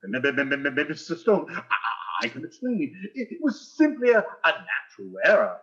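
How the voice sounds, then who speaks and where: Guy doing blustery stammering principal on old radio sitcom, very animated, bit muffled sound. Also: fairly high pitch, measured pace, heavily acted. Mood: flustered. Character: flustered, fawning male school principal, pompous and excitable. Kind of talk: 1940s radio sitcom acting.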